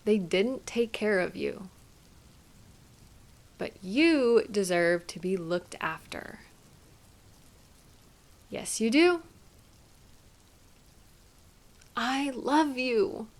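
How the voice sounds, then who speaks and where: Slow, tender, higher-voiced girlfriend played by a woman. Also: lively intonation. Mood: tender, loving. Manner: soothing, affectionate, gently coaxing, softly playful. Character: tender, doting girlfriend gently comforting a partner. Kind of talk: intimate roleplay audio, one-sided conversation.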